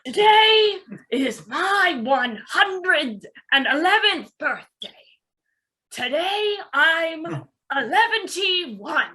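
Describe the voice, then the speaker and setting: Slow, high-voiced old hobbit speechmaker, played by a woman. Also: sing-song, bright, forceful tone, full character voice. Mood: fond, ceremonious. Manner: halting, theatrical speechmaking. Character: old male hobbit giving a birthday speech. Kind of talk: table read acting.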